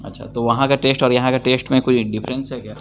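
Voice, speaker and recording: A man's voice: mid-range voice, fairly even intonation, fast talker, a bit bright, slight background noise. A young man from Bihar hosting a casual food vlog, interviewing diners in a small restaurant with a handheld mic. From Bihar, India. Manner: chatty, asks short friendly questions. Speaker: food vlogger. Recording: music playing behind.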